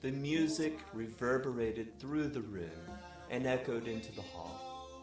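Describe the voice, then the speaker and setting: A man reads a scripted comic tale in the first person, addressing his audience like a bedtime storyteller. His voice sits at an average pitch and has a mock-formal manner. The recording is roomy and echoey. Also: unhurried, over music. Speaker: storyteller, webcast regular.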